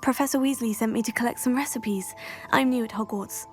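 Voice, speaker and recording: A woman plays a teenage student from a fantasy game. Her fairly high voice is polite, composed and earnest, with faint music behind it. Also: a little fast, natural voice. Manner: courteous, earnest. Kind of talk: video game character acting.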